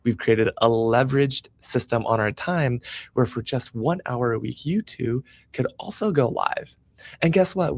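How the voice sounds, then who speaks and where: Male show host, American, gravelly voice, eager sales-friendly delivery. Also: mid-range voice, no room sound. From United States. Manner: upbeat, promotional, eager. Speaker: podcast host. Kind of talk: live-streamed interview show.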